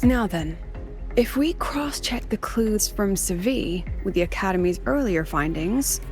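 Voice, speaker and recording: A woman playing a composed, shrewd academy scholar in a video game, speaking in a measured, explanatory way. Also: mid-range voice, lively intonation. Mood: analytical. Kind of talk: video game dialogue acting. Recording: game music behind. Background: game music.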